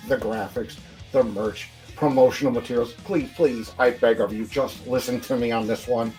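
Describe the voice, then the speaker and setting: A man's voice: mid-range voice, steady tone, moderate pace, mellow, natural voice. A man playing a wrestling promoter's cautious business partner in an audio play, hesitant and pleading as he reasons. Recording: faint music behind the voice.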